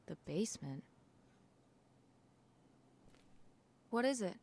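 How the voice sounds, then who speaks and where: An actress playing a wry, curious teenage daughter in a naturalistic video game style. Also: female voice, mid-range voice, very animated, quick pace, darkish tone, slightly rough.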